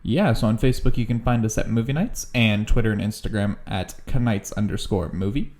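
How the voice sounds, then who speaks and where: Casual, slightly low-voiced man. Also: fairly quick, a little mellow. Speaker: podcast co-host. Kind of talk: casual chat.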